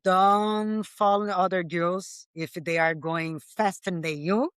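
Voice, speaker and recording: A woman's voice: on the low side, fairly even intonation, slow, smooth, dry recording, studio quality. A Brazilian woman with a Brazilian Portuguese accent, a commercial pilot and native Portuguese speaker, talking earnestly in a casual interview with non-native phrasing and frequent laughs.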